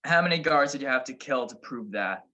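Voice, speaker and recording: A man's voice: mid-range voice, animated, a little fast, sharp tone. A man playing a wisecracking detective husband in a radio crime drama, dry and sardonic. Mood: wry.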